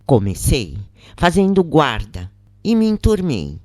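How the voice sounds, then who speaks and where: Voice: mid-range voice, wildly swooping pitch, slow pace, darkish tone, no room sound, clean studio sound. A narrator reading a scripted first-person story aloud.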